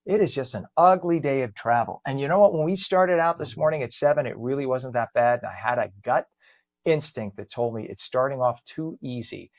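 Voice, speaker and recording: A man hosts a live morning show, chatting his way through traffic updates with easy fillers. His voice is mid-pitched and recorded dry, with little room sound. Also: animated, fairly quick, no echo. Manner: chatty and conversational, frequent fillers. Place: home studio.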